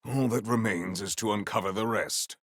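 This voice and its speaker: A man voicing a stoic, honourable warrior in a video game, speaking in formal, stately phrases with a gravelly voice. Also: lowish voice, no room sound, studio quality, natural voice. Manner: formal, stately, measured diction.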